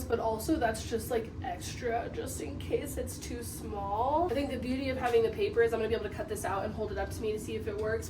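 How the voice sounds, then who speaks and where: A woman's voice: on the high side, noisy background. A woman in her twenties who is losing her voice after being sick, chatting upbeat and self-deprecating to camera from her home as a sewing vlogger. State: losing her voice, recovering from illness. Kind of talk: casual chat to camera. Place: home kitchen and living room.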